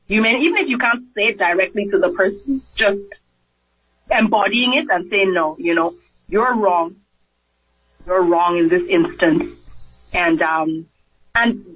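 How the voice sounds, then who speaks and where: Nigerian-accented man, high voice, earnest radio guest, muffled broadcast sound. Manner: earnest, repeats words when starting a thought, acts out quoted voices. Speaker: physician guest. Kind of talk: radio interview.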